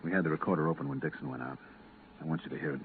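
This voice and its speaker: An actor playing a weary, blunt veteran at a moon research station, firm and curt, in 1950s radio drama style. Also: male voice, a bass voice, racing. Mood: grim, insistent. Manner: firm, curt, grimly insistent. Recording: tinny, band-limited, hissy 1950s radio recording.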